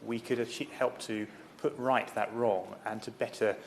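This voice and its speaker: A man, a member of parliament, intervening in a debate in a parliamentary chamber, speaking haltingly with many fillers. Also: lowish voice, steady tone, slight background noise.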